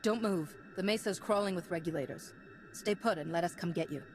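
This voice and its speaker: A woman voicing a calm, principled, resolute Jedi knight in a video game, earnest and commanding. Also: fairly low pitch, fairly quick, a little hiss or hum, close to an everyday voice. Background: game music.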